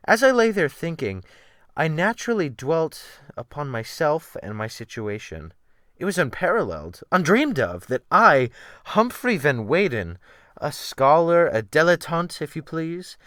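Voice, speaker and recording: A man's voice: mid-range voice, bright, forceful tone, no room sound, studio quality. A man reading a dramatic audiobook as a refined, bookish, self-pitying scholar, with fussy, emphatic literary phrasing. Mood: indignant.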